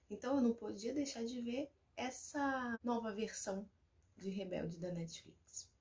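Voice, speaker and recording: Brazilian woman with a creaky voice. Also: medium pitch, darkish tone. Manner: chatty, explanatory, reads synopses aloud. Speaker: Spanish teacher and video host. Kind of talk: casual recommendation vlog.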